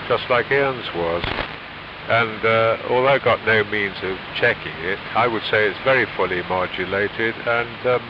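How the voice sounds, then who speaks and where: A man with a fairly low voice chats on amateur radio. His delivery is measured and conversational. The AM signal is muffled, with clear hiss behind it. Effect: radio transmission. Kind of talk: casual ham radio chat. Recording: hissy muffled AM shortwave radio.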